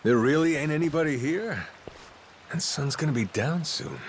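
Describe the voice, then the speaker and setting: An actor playing a brash, carefree middle-aged pirate captain in a video game, with folksy, slangy talk. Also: male voice, fairly high pitch, expressive, normal speed, slight background noise. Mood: casual, wary. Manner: folksy drawl with slangy grammar. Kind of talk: video game cutscene acting. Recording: background music behind dialogue.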